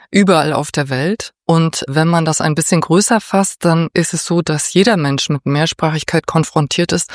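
A woman's voice: low-pitched, no room sound. Articulate woman from Berlin, close dry recording. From Berlin, Germany. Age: middle-aged. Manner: articulate, explanatory, formal. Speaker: interview guest, head of a municipal education and culture office. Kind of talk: interview answers.

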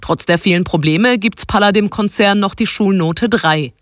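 A radio news reporter delivering a scripted report in a clear, steady manner. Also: male voice, high-pitched, moderate pace, dry recording, clean studio sound.

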